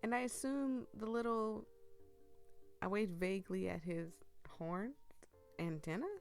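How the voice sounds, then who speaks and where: A woman streamer reads a romance visual novel aloud, breaking off for gushing, giggly asides. She talks slowly and her voice is going hoarse, with plenty of gravelly fry. Faint game music plays behind her. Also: mid-range voice, darkish tone. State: losing her voice. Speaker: gameplay streamer.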